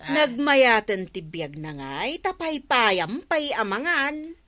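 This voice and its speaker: Sly village neighbour woman, Ilocano accent, sharp and fairly high, radio comedy. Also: big pitch swings, takes it slowly, heavily acted.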